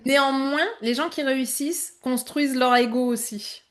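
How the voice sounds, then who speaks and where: A woman hosts a casual podcast chat. She offers short follow-ups and reflections in a fairly high voice. Her questions stay conversational and encouraging. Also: fairly even intonation, moderate pace. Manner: brief backchannels and supportive follow-ups. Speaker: podcast host.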